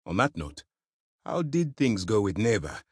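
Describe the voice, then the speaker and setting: A man playing a stern, principled space navy commander in a video game, speaking in a formal, measured, authoritative way. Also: on the low side, big pitch swings, slightly rough, no echo, studio quality, exaggerated voice. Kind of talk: scripted game dialogue.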